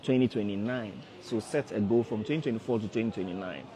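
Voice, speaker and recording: A man in his early thirties talks to a livestream audience in a low voice, coaching them and repeating his points to drive them home. A busy crowd murmurs behind him. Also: fast talker. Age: 30s. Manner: repetitive, coaxing, addresses viewers directly. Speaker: forex trader hosting a livestream. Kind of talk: casual motivational livestream talk.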